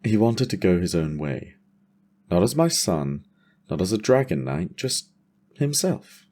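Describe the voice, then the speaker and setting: A man playing a battle-worn dragon rider and father in a fantasy audio drama, weary but hopeful, reflective and quietly wry. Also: deep voice, sing-song, measured pace, full character voice. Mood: quiet, content.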